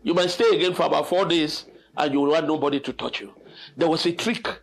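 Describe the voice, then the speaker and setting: Older male storyteller host, high register, expressive and dramatic informal delivery, faint music behind. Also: fast, vocal fry. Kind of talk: informal spoken storytelling and reflection.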